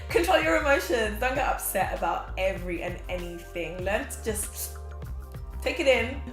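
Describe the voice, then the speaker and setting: A woman in her twenties giving casual, energetic motivational talk to a camera as host, seated in a small room. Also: mid-range voice, moderate pace. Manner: energetic, expressive, gestures a lot, laughs often. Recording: roomy echo with faint background music.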